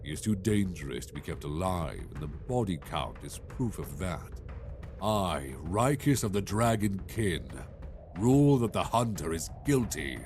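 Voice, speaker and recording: A man's voice: deep voice, sing-song, unhurried, over music, exaggerated voice. An actor playing a stern male dragon-kin lord in a fantasy audio drama, grave, formal and imperious. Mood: stern, judging.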